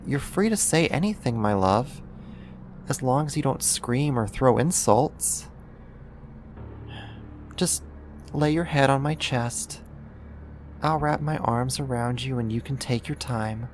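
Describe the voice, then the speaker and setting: Performer as obsessive yandere captor for ASMR roleplay: mid-pitched, slow, doting yet menacing, some noise behind the voice. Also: lightly acted. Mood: soothing. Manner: soft coaxing, doting, deliberate with pauses. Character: possessive, syrupy yandere neighbor turned kidnapper, coaxing and menacing. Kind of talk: ASMR roleplay, intimate scripted monologue.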